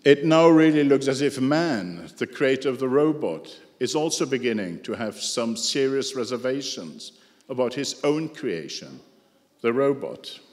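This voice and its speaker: A man with a non-native English accent giving a prepared lecture, measured and dryly ironic, with asides to the audience. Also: medium pitch, big pitch swings. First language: not English. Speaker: speaker giving a talk. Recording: echoey hall with long reverb.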